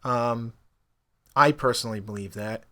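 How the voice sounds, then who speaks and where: A man's voice: medium pitch, unhurried, fairly bright tone. A young man whose first language is Spanish, talking casually to camera about learning languages, rambling with lots of fillers. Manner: conversational, rambling with frequent fillers. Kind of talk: casual opinion monologue.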